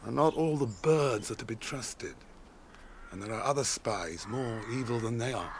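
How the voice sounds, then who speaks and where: An actor in a radio drama playing a grave, wary, weathered ranger guide who speaks in a measured, formal way. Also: male voice, medium pitch, slight background noise, close to an everyday voice.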